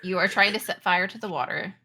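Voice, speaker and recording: A woman running a tabletop roleplaying game, narrating in a halting, improvised way. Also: lowish voice, bright, forceful tone. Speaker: game master.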